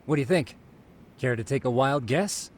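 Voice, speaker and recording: A man's voice: medium pitch, expressive, moderate pace, a little hiss or hum, natural voice. An actor voicing a nerdy, earnest male detective in a video game, explaining things in a precise, didactic way. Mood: earnest, lecturing. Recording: background music under the dialogue.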